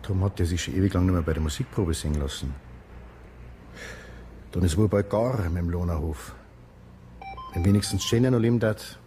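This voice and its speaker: An actor playing a chummy, wry police station officer with a Bavarian accent in a naturalistic radio drama. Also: male voice, low voice, brisk, long pauses, mellow, slight background noise, close to an everyday voice. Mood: brisk, familiar.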